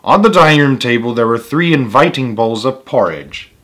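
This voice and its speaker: A man's voice: medium pitch, lively intonation, moderate pace, a bit bright. A man reading a children's story aloud as its host, with emphatic delivery and casual asides.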